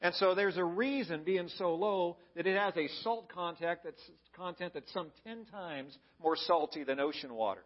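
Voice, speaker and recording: A man's voice: sits high, normal speed, hardly any pauses, dull audio. An American man giving an explanatory sermon as a pastor, conversational and plain-spoken. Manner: conversational, plain-spoken, asks the listeners questions. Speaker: pastor preaching to a congregation.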